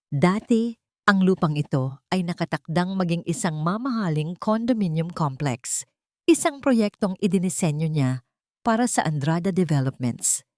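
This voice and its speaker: A woman narrating a scripted romantic drama story in a measured, sentimental way. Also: low voice, a little mellow, no echo, studio quality.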